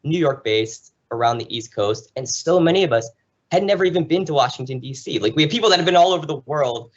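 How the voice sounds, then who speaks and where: An American man from New Jersey talking as an interview guest in an informal, animated, explanatory way. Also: on the high side, quick pace, lo-fi sound. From New Jersey, United States. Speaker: podcast guest, travel show host. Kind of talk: conversational interview, enthusiastic storytelling.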